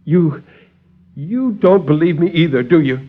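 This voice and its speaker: A man's voice: a little high, lively intonation, unhurried, pitched above the performer's own voice. A man voicing a distraught, defiant young lieutenant in an agitated, pleading way, in 1950s radio drama style. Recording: muffled 1950s radio recording.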